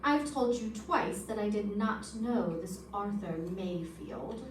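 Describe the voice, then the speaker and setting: A woman's voice: medium pitch, animated, slow pace, a little hiss or hum. A woman playing a cool, defiant widow under suspicion in an old-time radio detective drama. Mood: cool, irritated. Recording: echoey stage, distant mic.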